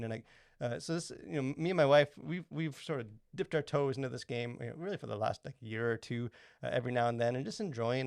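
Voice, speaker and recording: Male podcast co-host, quick pace, gravelly fry, rambling casual chat. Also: medium pitch, no room sound, studio quality. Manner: rambling, halting, filler-heavy explanations.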